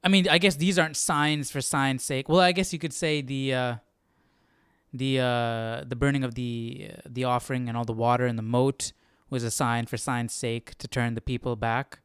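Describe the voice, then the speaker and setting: A man hosting a Bible study livestream, thinking aloud in a casual, informal way and often halting to search for words. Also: mid-range voice, steady tone, fairly bright tone, smooth, no room sound, clean studio sound.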